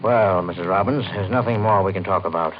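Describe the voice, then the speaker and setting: A man's voice: low-pitched, brisk, background noise, natural voice. An actor playing a formal, dismissive man in a 1950s radio mystery. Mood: cold, dismissive. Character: curt, dismissive man ending a visit. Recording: muffled old radio recording, music behind.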